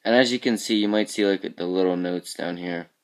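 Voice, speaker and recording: A man walking through how he built his game levels, talking casually with a groggy, raspy voice because he has just woken up, often trailing off into quiet mumbles. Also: low voice, brisk. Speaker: level creator showing his game work. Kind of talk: casual screen-capture walkthrough.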